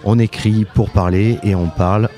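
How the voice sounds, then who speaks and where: A man's voice: on the low side, steady tone, normal speed, mellow. A man hosting a podcast, interviewing his guest in a casual, conversational way.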